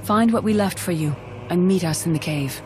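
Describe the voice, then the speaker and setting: An actress playing a calm, wise mystic mentor in a video game, speaking in a measured, earnest way. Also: female voice, fairly low pitch, noisy background, lightly acted. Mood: earnest, serene. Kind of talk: dramatic video game acting. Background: background music.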